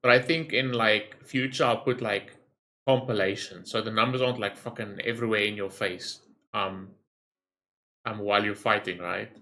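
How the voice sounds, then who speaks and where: A man's voice: on the low side, steady tone, normal speed, sharp tone. A man giving casual gameplay commentary, rambling as he thinks aloud and swearing freely. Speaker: gaming streamer recording a reaction video.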